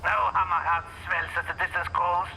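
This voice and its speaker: An actor playing a playful, cryptic supernatural ally who teases in flowery, archaic phrases over a phone in an audio drama. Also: male voice, high voice, little pitch movement, moderate pace, gravelly, bright, forceful tone, slight background noise, exaggerated voice, poor audio. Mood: playful, teasing. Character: playful, theatrical, cryptic male demigod-kin ally on phone. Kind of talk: audio roleplay drama acting.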